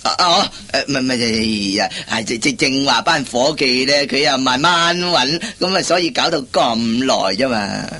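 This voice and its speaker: An actor playing a timid, henpecked husband in a radio comedy, stammering and repeating himself. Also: male voice, mid-range voice, animated, moderate pace, few pauses, sharp tone. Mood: flustered. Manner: stammering, hesitant, repeats his words. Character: henpecked, timid, flustered husband and office worker. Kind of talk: Cantonese radio comedy acting.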